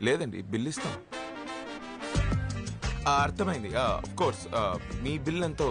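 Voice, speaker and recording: A man's voice: on the high side, animated, a little slow, over music. An actor playing an anxious young man who runs a café and begs for help for a friend. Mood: pleading. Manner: pleading, polite.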